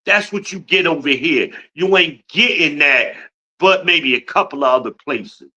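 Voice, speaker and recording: A man's voice: fairly high pitch, expressive, unhurried, bright, forceful tone, slightly rough, lo-fi sound. A man in his fifties from Newark, New Jersey, hosting a podcast livestream with a boastful, blunt, profane rant. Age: 50s. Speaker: podcast host.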